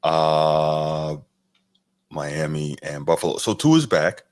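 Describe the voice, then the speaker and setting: Very deep-voiced podcast co-host. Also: male voice, no echo. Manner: measured, frank, agreeable with many mm-hmms. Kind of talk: casual sports chat.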